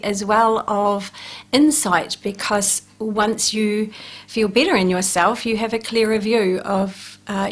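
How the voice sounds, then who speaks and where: A woman hosts a radio talk show. Her voice sits at a middle pitch and her delivery is encouraging and uplifting, addressed straight to her listeners. She sits in a radio studio. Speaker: radio show host, life coach.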